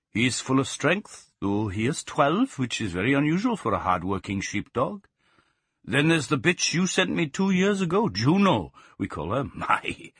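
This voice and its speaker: A man's voice: mid-range voice, a bit bright, slightly rough, dry recording, clean studio sound, exaggerated voice. A man voicing a cheerful middle-aged Welsh sheep farmer with a singsong Welsh accent. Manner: lilting, singsong.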